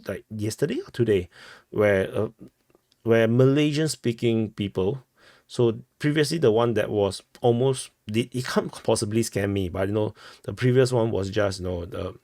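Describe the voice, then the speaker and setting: Low-voiced Singaporean man, halting commentary. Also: a little mellow, no room sound, clean studio sound. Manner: halting, repeats and rephrases phrases. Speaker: host of a news commentary show. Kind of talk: informal news commentary.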